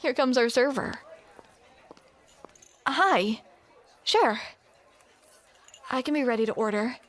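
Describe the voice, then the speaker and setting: A woman's voice: sits high, takes it slowly. A woman performing a scripted romantic audio roleplay, with playful, teasing banter, close to the microphone.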